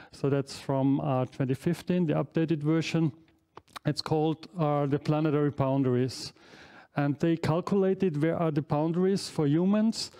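A man's voice: on the high side, mellow, clean tone. A man in his forties, speaking English as a second language, gives a university lecture on slides in a large hall through a headset mic, with frequent fillers and self-corrections. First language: not English. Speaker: professor giving an inaugural lecture. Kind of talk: academic lecture.

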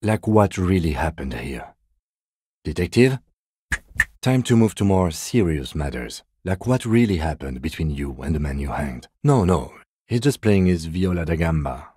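A man playing a composed, by-the-book police detective in a video game, speaking in a measured, reserved, matter-of-fact way. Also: deep voice, big pitch swings, dark tone, husky, no echo, studio quality, full character voice. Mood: composed, dry. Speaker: voice actor. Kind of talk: video game character dialogue.

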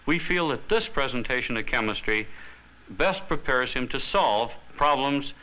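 An American man in his thirties explaining as a professor, measured and deliberate with pauses. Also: mid-range voice, expressive, bright, forceful tone, rough recording. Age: 30s. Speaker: professor and textbook editor. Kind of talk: explanatory talk to camera.

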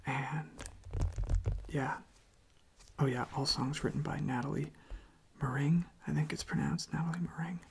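A young man speaking softly in a gentle, unhurried, hesitant way as an ASMR host. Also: on the high side, fairly even intonation, gravelly, slight background noise. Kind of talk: soft-spoken whispered ASMR.

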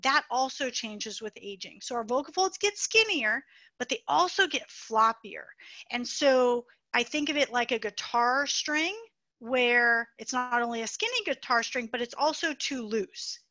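A woman doctor teaches in a webinar over a video call, explaining things patiently with everyday comparisons. Her voice sits high and sounds bright. The audio is dry and a little dull. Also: lively intonation, unhurried, no echo. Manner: explanatory, uses plain comparisons. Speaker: voice doctor presenting. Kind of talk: webinar lecture.

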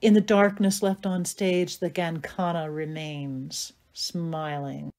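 A woman narrating stage directions from a script in a measured, matter-of-fact way. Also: deep voice, little pitch movement, a little mellow.

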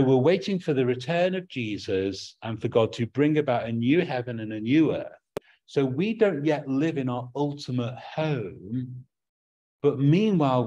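A man's voice: mid-range voice, a little mellow. A middle-aged man with an English accent preaching a sermon from a small room at home, earnest and prone to restarting his words.

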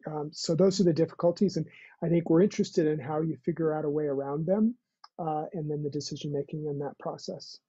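Older guy on a zoom call, super dark raspy voice, laid back, muffled dry headset sound. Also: a little high, a little fast, no room sound. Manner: relaxed, thinks aloud with "you know". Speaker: program mentor answering questions. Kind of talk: casual explanation on a video call. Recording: dull, dry video-call audio.

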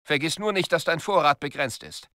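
Game military commander: stern, curt, authoritative, briefing and drilling a soldier. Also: male voice, on the high side, brisk, pushed and bright, no room sound, clean studio sound. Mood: brisk, instructing. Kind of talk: video game dialogue, tutorial instruction.

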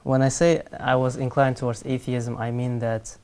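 Soft-spoken young man from Kazakhstan. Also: medium pitch, flat delivery, clear, resonant tone. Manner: soft-spoken, measured, hesitant with fillers. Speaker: guest. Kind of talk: studio interview. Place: TV studio set.

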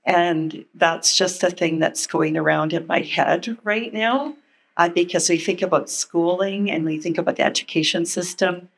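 An older woman and former educator giving an earnest, measured comment at a panel, speaking into a handheld mic in a large meeting room. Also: fairly low pitch, creaky, breathy edge. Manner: earnest, measured, thinking aloud.

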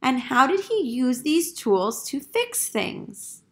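A woman reading a picture book aloud to young children in a deliberate, emphatic teacher's manner. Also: high voice, very animated.

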